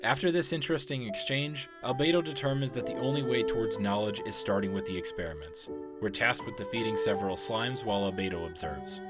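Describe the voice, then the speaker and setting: A man delivering scripted explanatory narration as a video essayist on game lore. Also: fairly low pitch. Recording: voice-over with prominent music. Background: music.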